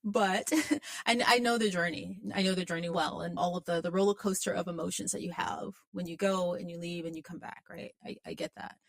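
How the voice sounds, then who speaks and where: A woman's voice: on the low side, steady tone, a little fast, vocal fry. A woman from Memphis hosting a podcast interview, talking in a chatty, easygoing way and often laughing at herself. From Memphis, Tennessee, US Southern. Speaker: podcast host. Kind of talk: conversational interview.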